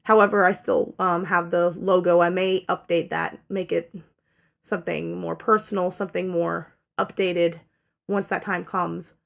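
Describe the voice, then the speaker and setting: A young woman talking through a casual update in a lowish, gravelly voice. She sounds tired and her throat is dry. She wanders, piling up fillers and correcting herself as she goes. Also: level tone, a little slow. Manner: rambling with many fillers and self-corrections. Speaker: channel owner giving an update. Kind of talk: casual rambling channel update.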